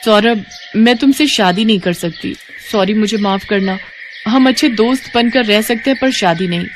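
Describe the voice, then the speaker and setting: A woman's voice: medium pitch, moderate pace, noisy background, close to an everyday voice. A woman playing an earnest, devoted young twin sister in a moral story. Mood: apologetic.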